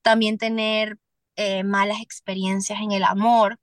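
A young Venezuelan woman, a singer-songwriter, speaking candidly as a podcast guest, earnest and halting with restarts. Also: medium pitch, flat delivery, dry recording, clean studio sound. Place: Miami.

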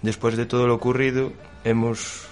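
A man's voice: mid-range voice, level tone, moderate pace. A man from Galicia, a supporters' club officer explaining earnestly as a radio interview guest. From Galicia, Spain.

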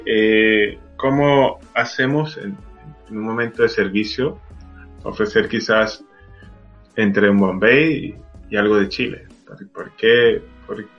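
A man from Venezuela, hosting a podcast in a casual interview style. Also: fairly low pitch. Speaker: podcast host. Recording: dull lo-fi audio, music behind. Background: background music.

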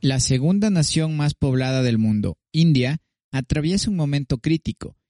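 A man, a podcast host reading a scripted intro and headlines in an even delivery. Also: a little high, normal speed, faint music behind. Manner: even, read-aloud delivery.

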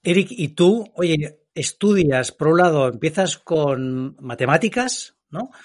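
A man's voice: fairly high pitch, animated, slow. A man co-hosting an interview, asking friendly questions and often ending them with a checking "¿no?". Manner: friendly, asks questions with "¿no?" tags. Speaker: co-host.

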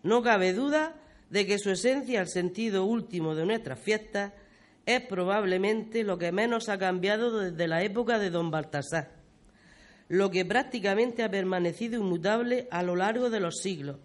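A performer playing a talkative, fond old grandmother, reminiscing in folksy, expressive style in a community radio play. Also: medium pitch, moderate pace, natural voice. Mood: lively, affectionate. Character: talkative, fond old village grandmother telling local history.

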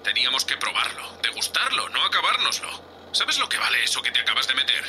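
A man playing an exasperated, sardonic spaceship captain in an audio drama, gruff and scolding. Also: mid-range voice, sing-song, brisk, pushed and bright, faint music behind, poor audio. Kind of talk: science fiction audio drama acting.

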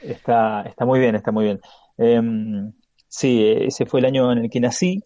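A man in his forties, born in Chile with an Argentine Spanish accent, chatting as a podcast guest with many pauses and restarts. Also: medium pitch, mellow, no echo, highs cut off. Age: 40s. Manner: hesitant, halting with frequent restarts. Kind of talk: casual conversation.

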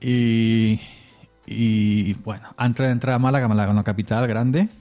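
Rambling Andalusian man, mellow voice. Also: fairly low pitch, steady tone, normal speed, faint music behind. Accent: Andalusian Spanish. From Andalusia, Spain. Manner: rambling, chuckling often. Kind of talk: casual chat.

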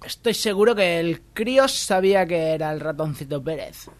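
An earnest Basque policeman from a comic radio news sketch, acted out by a man. He speaks in a hushed, solemn voice, slowly laying out his statement. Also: on the high side, expressive, close to an everyday voice.

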